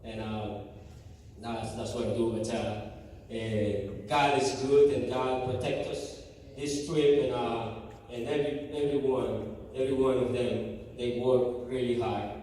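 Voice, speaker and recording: A man from Guatemala with a Guatemalan Spanish accent, a native Spanish speaker, giving a halting church testimony full of fillers and restarts. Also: medium pitch, steady tone, warm tone, slight background noise. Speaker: mission team member giving a church report. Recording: echoey church hall from far off.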